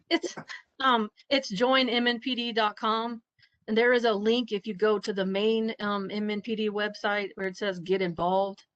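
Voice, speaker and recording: A woman police recruiter giving helpful, brisk information at a virtual meeting. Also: medium pitch, fairly even intonation, a bit bright, no room sound. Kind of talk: informative answer. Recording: dry, muffled call audio.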